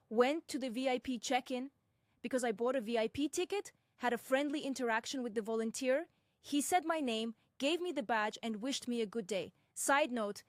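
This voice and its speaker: A young woman from Russia, narrating her own experience in a measured, deliberate way with frank asides. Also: fairly high pitch, no room sound, clean studio sound. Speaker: personal commentator. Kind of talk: first-person reflective narration.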